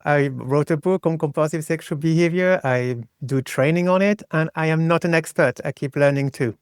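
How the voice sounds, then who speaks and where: A man's voice: on the high side, moderate pace, clean tone, no echo, studio quality. A middle-aged man from France with a French accent, speaking reflectively and explaining his thoughts in a conversational interview. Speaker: podcast guest, psychosexual psychotherapist.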